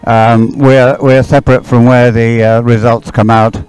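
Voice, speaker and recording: Male sports commentator, medium pitch, explanatory and halting, faint music behind him. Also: level tone, darkish tone, smooth. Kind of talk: live explanatory race commentary.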